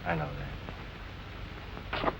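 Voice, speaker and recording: An actor playing a protective, slightly jealous, uneasy suitor in 1940s film noir style. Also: male voice, very slow, bright, forceful tone. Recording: noisy old film soundtrack.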